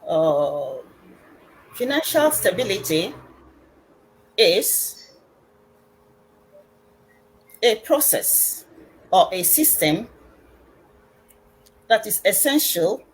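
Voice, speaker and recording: A middle-aged woman from Nigeria, an educationist and business consultant, speaking formally and deliberately on an online panel. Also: mid-range voice, very animated, rough recording. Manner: slow, measured, formal delivery with pauses.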